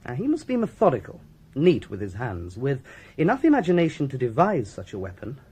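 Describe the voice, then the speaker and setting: An actor playing a British forensic doctor in a radio drama, lecturing with precise, self-satisfied relish. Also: male voice, medium pitch, huge pitch swings, a little fast, dark tone, husky, exaggerated voice. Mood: relishing, didactic. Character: brisk, relishing male forensic doctor, theatrically pedantic.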